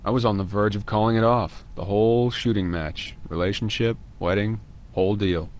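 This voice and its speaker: A man's voice: on the low side, fairly even intonation, normal speed, close to an everyday voice. An actor playing a blunt, defensive male murder suspect in a video game, speaking in a curt, detached way.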